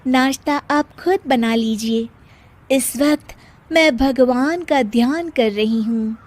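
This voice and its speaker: A woman's voice: high voice, slow pace, a little mellow. A woman voicing a cunning young daughter-in-law who poses as a pious ascetic in a Hindi cartoon story.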